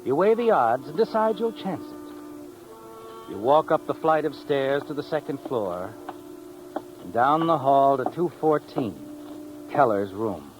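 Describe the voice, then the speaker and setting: A man playing the knowing, taunting narrator of a 1950s radio mystery, addressing the schemer with dramatic pauses. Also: medium pitch, lively intonation, lightly acted, rough recording. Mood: ominous, knowing. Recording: old radio recording with music behind.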